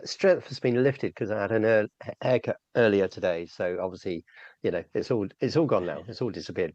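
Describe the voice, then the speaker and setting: A man's voice: fairly low pitch, darkish tone, no room sound, highs cut off. A man chatting as a podcast guest, with plenty of fillers and the odd laugh. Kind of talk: casual panel chat.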